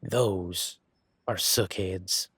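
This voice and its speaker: A man acts out a towering, easygoing werewolf bodyguard with country roots. His voice is low and rough-edged, recorded dry and close. He talks informally and teasingly, with folksy phrasing and lingering hesitations, as in an intimate roleplay drama. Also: fairly even intonation, very slow, fairly bright tone, no echo, full character voice. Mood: wry, chatty. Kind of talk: roleplay audio drama, one-sided conversation.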